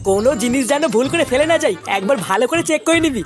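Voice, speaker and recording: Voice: high-pitched, lively intonation, rapid-fire. A performer voicing a bossy, practical male gang leader in a comedy cartoon, handing out orders. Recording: music behind the voice. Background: background music.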